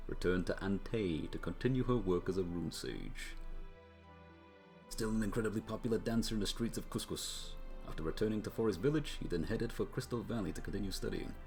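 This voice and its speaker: A man's voice: fairly low pitch, brisk, creaky, a little mellow. A man streaming a game, reading story text aloud with brief casual remarks of his own. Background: music.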